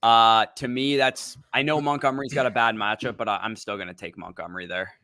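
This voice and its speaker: A man's voice: medium pitch, fairly even intonation, a little fast, pushed and bright, no echo, studio quality. A young adult man co-hosting a casual fantasy football livestream, laid-back and joking. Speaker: co-host.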